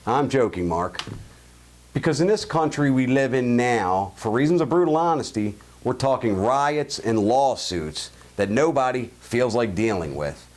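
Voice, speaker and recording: A man's voice: mid-range voice, animated, unhurried, darkish tone. A man with a Baltimore accent hosting his own show, giving blunt, jokey opinions and answering listener emails. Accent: Baltimore (Highlandtown). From Baltimore, USA. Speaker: show host. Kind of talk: opinion monologue and listener mail.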